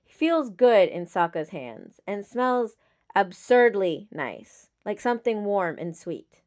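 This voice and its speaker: A woman reading dramatic fiction as a scripted narration, with measured, solemn phrasing. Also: medium pitch, sing-song, a little mellow. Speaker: audiobook reader of fan fiction.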